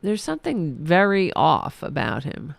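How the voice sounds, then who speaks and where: A woman's voice: sits low, very animated, a little slow, vocal fry, no room sound. A woman, hosting a political podcast, ranting in a heated, profane, sarcastic way while losing her voice. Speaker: podcast host. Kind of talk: angry political rant.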